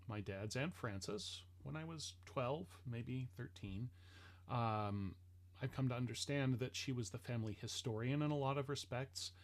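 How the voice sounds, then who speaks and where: Conversational man, halting and reflective. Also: mid-range voice. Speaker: speaker introducing an ancestor's speech. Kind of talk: casual explanatory talk to listeners.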